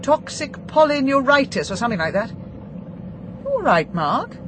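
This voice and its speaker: A British actress playing a brisk, opinionated, tartly dismissive Englishwoman in a radio drama. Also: sits high, big pitch swings, noisy background. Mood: brisk, polite.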